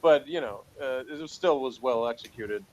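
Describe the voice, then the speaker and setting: A man with a medium-pitched voice talks casually as a review co-host. He thinks aloud, circling back as he goes, and adds dry little jokes. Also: normal speed, a little mellow, highs cut off. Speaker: co-host giving review opinions.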